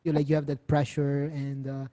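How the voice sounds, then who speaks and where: A young man from Italy, a musician guest, answering casually and softly with many fillers and restarts. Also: medium pitch, completely flat delivery, fairly quick, over a noisy crowd. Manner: soft-spoken, hesitant, many fillers and restarts.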